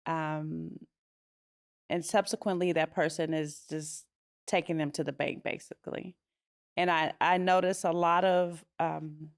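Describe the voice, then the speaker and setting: Woman, podcast host, low voice, gravelly, dry close sound. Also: no room sound. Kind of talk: podcast interview.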